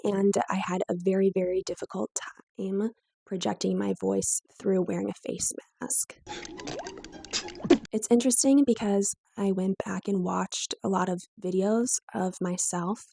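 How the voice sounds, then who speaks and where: A woman's voice: mid-range voice, steady tone, measured pace, vocal fry, mellow, husky. A woman in her twenties with a weak, strained, breaking voice, telling her own story to camera in a candid, lightly self-mocking way. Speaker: vlogger.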